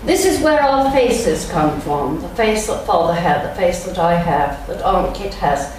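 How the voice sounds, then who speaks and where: An older woman from England giving a lecture in a large church, speaking thoughtfully and pausing often with ums. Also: lowish voice, animated, a little mellow. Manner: slow, thoughtful delivery with frequent ums. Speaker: guest lecturer. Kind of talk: church lunchtime lecture, reading quotations aloud. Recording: very echoey church, speaker heard from a distance, faint background noise.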